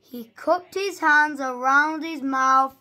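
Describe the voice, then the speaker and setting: A young reader reading a storybook aloud haltingly, stumbling over and repeating words. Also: sits high, sharp tone.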